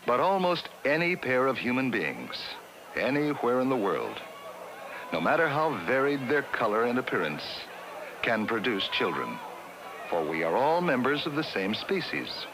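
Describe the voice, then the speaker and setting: A man giving measured, scripted narration for a 1960s classroom educational film. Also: medium pitch, very animated, background noise. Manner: measured, explanatory.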